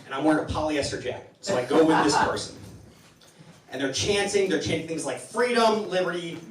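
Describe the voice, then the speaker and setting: A man hosting a live comedy show, telling stories in a halting, rambling way with stammered restarts. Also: a little high, lively intonation, a crowd in the background. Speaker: host of a comedy town hall show. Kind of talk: stand-up comedy monologue to a live audience. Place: indoor live comedy venue with audience. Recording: roomy, distant, noisy live venue recording.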